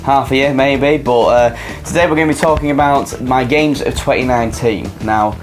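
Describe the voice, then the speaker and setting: A young man, slightly ill with a raspy voice, rambling casually through his favourite games of the year with lots of fillers. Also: medium pitch, normal speed, faint music behind. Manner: chatty, many fillers and restarts. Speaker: video game reviewer. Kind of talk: casual rambling game review.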